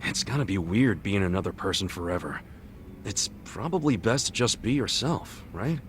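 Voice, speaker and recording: An actor playing a brash, warmhearted, loyal street tough in a video game cutscene, blunt and informal. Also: male voice, on the low side, animated, moderate pace, slight background noise, lightly acted. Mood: curious, frank. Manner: informal, blunt, swaggering, foul-mouthed.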